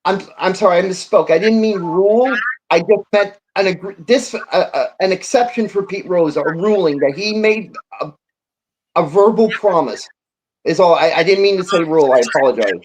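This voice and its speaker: A man's voice: high voice, moderate pace, poor audio. A man in a casual sports debate, explaining his view in an insistent yet conciliatory way.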